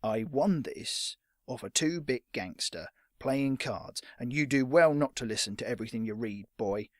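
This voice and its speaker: A man voicing a gruff, curt retired old fighter with a rough, lowered voice. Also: animated, moderate pace, dry recording, clean studio sound, natural voice. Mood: menacing. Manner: curt and menacing.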